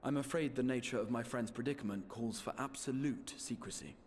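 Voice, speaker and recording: An actor playing a formal, eloquent gentleman negotiator in a fantasy video game, with measured, courteous phrasing. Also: medium pitch, a little hiss or hum, natural voice. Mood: courteous, earnest. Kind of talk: video game cutscene acting.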